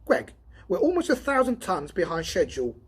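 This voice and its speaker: A man voicing an excitable, griping male robot tester in a storybook reading, loud and indignant. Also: high voice, fairly quick, slightly rough, voice pushed up, heavily acted. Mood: exasperated.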